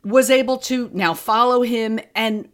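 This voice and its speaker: A woman's voice: on the high side, a little slow. A woman speaking casually as an interview guest, explaining her creative work in a conversational way.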